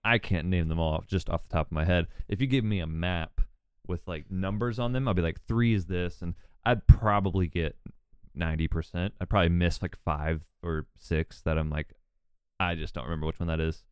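A man's voice: deep voice, brisk, darkish tone, clean tone, no room sound. A man from Georgia in a laid-back, joking casual chat, swearing now and then. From Georgia, south of Atlanta.

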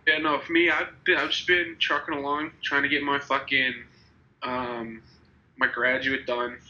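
A man's voice: mid-range voice, flat delivery, moderate pace, muffled recording, background noise. A young man in his twenties with a slightly congested, nasal voice from a cold, hosting a casual podcast chat and rambling with many restarts. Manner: rambling, restarts, laughs easily. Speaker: podcast host.

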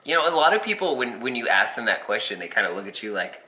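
Lively, bright-toned man in his thirties. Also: lowish voice, fast talker. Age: 30s. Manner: animated storyteller, joking. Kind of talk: casual chat. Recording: roomy echo.

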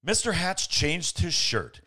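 A man reading a bedtime story aloud as a podcast host, with playful dramatic pauses and casual asides. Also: a little high, very animated, pushed and bright.

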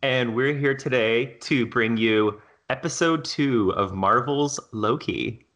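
A man in his late twenties co-hosting a podcast in casual chat, expressive and quick to laugh. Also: medium pitch. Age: 20s. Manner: bright, expressive, laughs easily. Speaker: podcast co-host.

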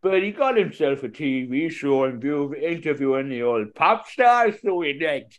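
A man's voice: on the high side, lively intonation, moderate pace, natural voice. A man voicing an opinionated Scottish man in the street, rambling with emphatic tag phrases in a put-on Scottish accent, for a comedy podcast. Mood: emphatic, daft.

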